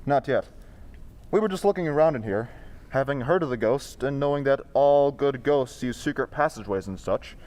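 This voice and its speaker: A man playing a courteous, composed amateur sleuth in an old-time radio drama, with formal, measured phrasing. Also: mid-range voice, expressive, darkish tone, lightly acted. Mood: calm, probing.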